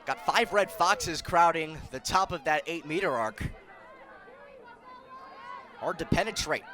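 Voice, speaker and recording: American male play-by-play announcer, twenties, high register, projected delivery, live outdoor stadium crowd behind. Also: unhurried, sharp tone. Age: 20s. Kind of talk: live lacrosse television commentary. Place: outdoor stadium broadcast position. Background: crowd and stadium noise.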